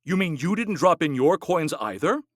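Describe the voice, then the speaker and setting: A man's voice: a little high, sing-song, moderate pace, no echo, clean studio sound, voice pushed up. A man voicing a stingy husband in a storybook, who groans and blusters. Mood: grumbling. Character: stingy, grumbling village husband.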